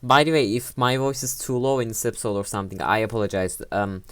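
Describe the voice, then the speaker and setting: A woman's voice: extremely low pitch, steady tone, quick pace, dry recording. A young woman hosting a let's play, giving casual, rambling and hesitant game commentary on a home computer mic.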